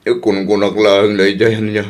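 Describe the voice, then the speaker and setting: A man's voice: lowish voice, little pitch movement, moderate pace, close to an everyday voice. An actor playing a lovesick jilted man who cannot move on, in a comedy radio drama. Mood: dejected.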